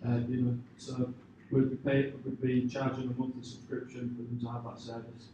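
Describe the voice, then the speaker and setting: A man giving informal, hesitant answers to questions after pitching his business, leaning on filler phrases. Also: little pitch movement, moderate pace, lo-fi sound. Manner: hesitant, informal, leans on "you know" and "sort of". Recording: echoey room, distant mic, clear background noise.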